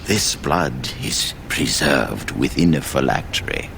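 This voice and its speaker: Old wise male mage, slow and deliberate, gravelly, fatherly, fantasy game dialogue, faint background noise. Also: extremely low pitch, expressive, fairly bright tone, heavily acted. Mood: solemn, kindly. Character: elderly male head mage, wry, patient, fatherly. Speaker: video game character. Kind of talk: measured video game dialogue acting.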